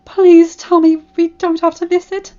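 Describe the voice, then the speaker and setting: A woman voicing a bookish, earnest female pony who speaks in a measured way. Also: fairly high pitch, a little mellow, highs cut off, close to an everyday voice.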